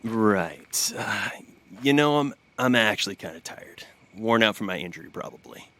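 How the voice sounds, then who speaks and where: An actor playing an evasive, wry fugitive agent in an audio drama, with a hesitant, stammering delivery. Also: male voice, fairly low pitch, lively intonation, moderate pace, pushed and bright, slight background noise. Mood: evasive, tired. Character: evasive injured male fugitive agent, wryly friendly.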